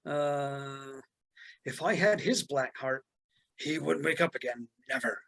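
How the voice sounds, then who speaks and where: A woman's voice: a bass voice, slow pace, gravelly, fairly bright tone, exaggerated voice. A woman playing a suspicious, grudging male hobbit in a fantasy script table read.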